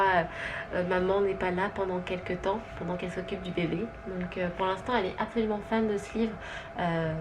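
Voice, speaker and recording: A young woman with a Quebec French accent, chatting gently through a casual haul vlog at home, thinking aloud as she goes. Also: medium pitch, low-quality recording. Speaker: vlogger and stay-at-home mother. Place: at home with her baby asleep nearby.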